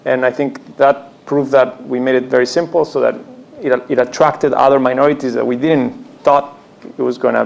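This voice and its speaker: A man who founded a company, answering an audience question on a panel with a halting manner, often restarting his sentences. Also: mid-range voice, vocal fry. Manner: halting, many restarts, soft laughs. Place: conference room, before an audience.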